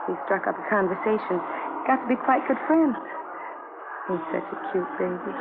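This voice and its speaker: A woman's voice: medium pitch, moderate pace, natural voice. An actress playing a talkative, polite and fretful American woman traveler in a radio drama. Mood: chatty. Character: chatty, fretful, kindly female bus traveler. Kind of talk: 1950s radio drama dialogue. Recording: noisy, tinny old radio recording.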